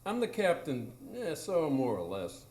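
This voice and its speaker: A man's voice: a little high, huge pitch swings, unhurried, a little mellow. A man playing a laid-back, skeptical steamboat captain, hesitant and wry, in a live radio drama. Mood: wry, bemused. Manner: hesitant, wry, drawling interjections. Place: small improv theatre stage. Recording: roomy live stage recording.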